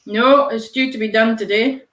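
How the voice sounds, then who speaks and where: An older woman chatting on a video call, speaking softly and trailing off at times, with a dry sense of humour. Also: fairly high pitch, steady tone, normal speed, roomy sound, poor audio. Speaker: participant in a live colouring call. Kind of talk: casual chat.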